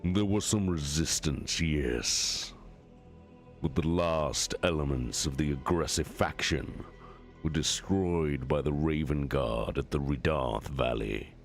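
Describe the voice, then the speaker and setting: A man voicing a grave, commanding warlord in a dramatic science fiction audiobook reading, speaking formally and declaiming with measured authority. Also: very deep voice, soft background music, exaggerated voice. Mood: grave, authoritative. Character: commanding warlord primarch, grave and measured, briefing his brothers.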